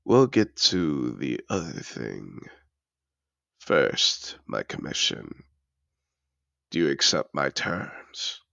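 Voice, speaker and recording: Man voicing a very deep, gravelly seductive dragon. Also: very animated, a bit bright, no echo, heavily acted. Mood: grand, courtly. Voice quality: deep gravelly voice with breathy murmurs. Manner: velvety, deliberate, courtly. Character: seductive possessive male dragon in disguise, wealthy art patron. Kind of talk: intimate fantasy audio roleplay, slow dramatic delivery.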